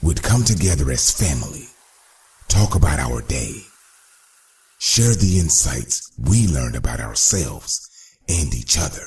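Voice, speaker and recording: An extremely deep male villain in a motion comic. He is a polite, menacing crime boss who speaks slowly and formally, with theatrical swings of tone. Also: very animated, gravelly, a little mellow, full character voice. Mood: genteel menace.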